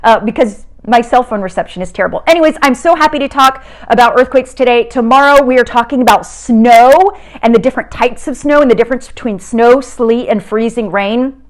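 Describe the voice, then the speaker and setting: A woman's voice: fairly high pitch, brisk. A middle-aged woman from South America, a TV meteorologist giving a casual science lesson from home, chatty and upbeat with frequent filler "uh"s and restarts. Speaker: TV meteorologist teaching a kids' lesson.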